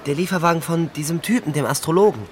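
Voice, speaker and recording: A man's voice: a little high, fast talker, background noise, natural voice. An actor playing an earnest, helpful young man in a German radio drama, casual and eager to explain.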